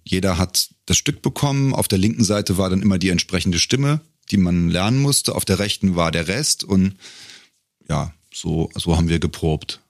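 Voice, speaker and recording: A middle-aged man telling stories as an interview guest in a casual, wryly humorous way, often pausing to find his words. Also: sits low, no room sound, clean studio sound. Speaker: podcast guest, a cappella singer and arranger.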